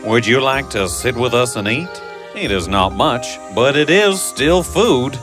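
Man acting a slow, earnest humble husband. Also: mid-range voice, lively intonation, natural voice. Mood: cheerful, content. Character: humble, contented shepherd and honest townsman husbands. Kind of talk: animated fairy tale character acting. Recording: music bed behind the voice.

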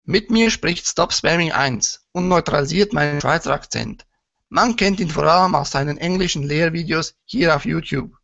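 A man with a Swiss German accent from Switzerland, hosting an online talk show with a measured, formal delivery. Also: fairly high pitch, vocal fry, a bit bright, no room sound. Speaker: show host. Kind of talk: scripted intro, then casual chat. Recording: dull, lo-fi sound.

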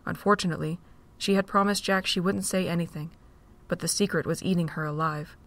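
A woman narrating a fan audio drama with steady, measured reading. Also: on the low side.

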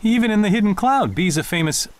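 A man's voice: high-pitched, expressive, fast talker, no echo. A man voicing a friendly village guard ninja in an anime game dub. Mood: proud, surprised.

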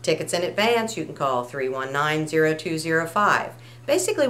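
A middle-aged woman giving a promotional talk to camera in an indoor room. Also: sits low. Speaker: community theater representative. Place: indoor room, camera a few feet away. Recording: noticeable hum and noise.

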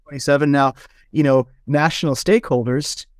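A man's voice: fairly high pitch, steady tone, brisk, no echo. Man from Switzerland, host, hesitant phrasing, dry sound. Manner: hesitant, restarts and stacks up phrases. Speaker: podcast host. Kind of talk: conversational interview.

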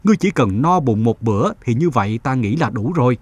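A man playing a stern, condescending gentleman who questions people before giving alms in a cartoon morality tale. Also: medium pitch, lively intonation, brisk, slightly rough, no echo, voice pushed down. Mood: stern, probing.

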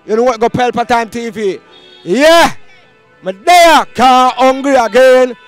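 A young Jamaican man hosting a street market vox pop outdoors with a handheld mic, hyped and shouting slogans in Patois banter. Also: extremely high pitch, expressive, a little slow, soft background music.

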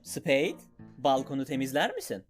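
A man voicing a playful, childish cartoon clone who is excitable and pleased with his silly schemes. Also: mid-range voice, moderate pace, faint music behind, lightly acted. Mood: coaxing. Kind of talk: animated comedy dub in Turkish.

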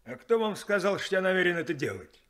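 An actor playing a defiant, sardonic ship's chief engineer in a 1970s radio play. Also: male voice, sits high, sing-song, fast talker, fairly bright tone.

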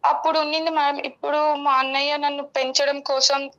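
A woman's voice: high voice, little pitch movement, brisk, fairly bright tone, dull audio. A young woman giving a personal account as a caller in a counselling interview. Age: young adult.

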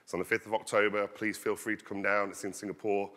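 A man in his thirties giving an energetic conference talk, projecting his voice as he walks the stage on a headset mic. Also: fairly low pitch, quick pace. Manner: loud, projecting voice. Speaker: conference speaker. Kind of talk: conference presentation. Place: large conference hall stage. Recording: long hall reverb.

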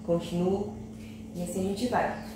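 A woman's voice: medium pitch, steady tone, noisy background. A young woman chatting to her viewers while she cleans at home, stopping now and then to rest. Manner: chatty, addresses viewers directly, pauses to rest while working. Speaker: home vlogger. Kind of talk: casual cleaning vlog narration. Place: large bare tiled dining room and kitchen at home, indoors. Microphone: camera across the room. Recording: echoey room, heard from a distance.

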